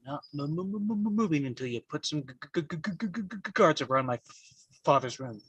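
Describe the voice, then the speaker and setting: A man acts out a young man standing guard over his father in a live script read. His voice is husky and dry, and he stammers heavily. He stays resolute. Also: fairly high pitch, expressive, quick pace, fairly bright tone, no room sound, lo-fi sound, soft background music, full character voice. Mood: tense defiance. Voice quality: heavy stammer.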